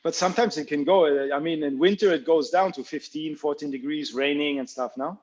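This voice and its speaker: A man hosts an interview, his voice sitting a bit higher than most. He speaks haltingly, stacking "okay"s, and the sound comes through dull and lo-fi. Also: darkish tone. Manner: halting, repeats "okay" often. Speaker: podcast host. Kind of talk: casual interview talk.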